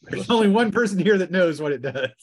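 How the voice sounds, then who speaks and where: A man acting as game master, casually narrating scenes and rulings at a tabletop role-playing game, sometimes haltingly. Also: high-pitched, lively intonation.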